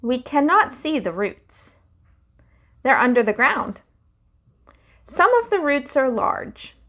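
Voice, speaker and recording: Young woman reading picture books aloud for kids, sing-song and unhurried. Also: high voice. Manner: slow, lively, sing-song storytelling. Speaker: children's storytime reader.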